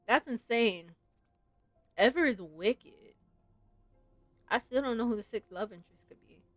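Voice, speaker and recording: Slightly high-voiced woman streaming a story game. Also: expressive, a little slow, no room sound. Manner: informal, musing, frequent "like". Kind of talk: casual gameplay commentary with read-aloud story text. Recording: game music behind the voice.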